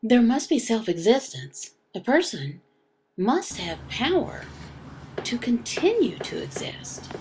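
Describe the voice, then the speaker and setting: Female religious explainer: slow, gravelly, emphatic and halting, with short repeated phrases. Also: on the high side, very animated, darkish tone, slight background noise, rough recording. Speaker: teacher voicing a signed religious lesson. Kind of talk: slow explanatory religious teaching, question and answer.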